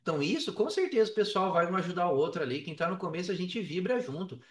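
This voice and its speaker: Echoey, higher-pitched Brazilian man chatting. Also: fast talker. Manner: slangy, animated storytelling with acted-out quotes. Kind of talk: casual podcast chat. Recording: roomy echo, distant from mic.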